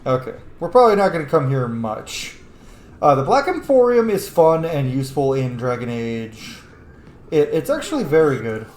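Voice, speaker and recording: A man hosts a casual game playthrough in a mid-pitched voice. He is informal and sweary, often muttering to himself, in an echoey room with faint noise. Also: sing-song, moderate pace, a little mellow. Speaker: gamer hosting a playthrough. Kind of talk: casual gameplay commentary.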